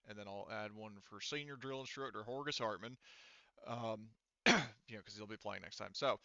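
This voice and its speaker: A man runs a tabletop game in a casual, halting way, thinking out loud. His voice is bright and clear. The recording is close and dry, though a little muffled and lo-fi. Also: on the low side, no echo. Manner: halting, thinks aloud while tallying rules and rolls. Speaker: game master.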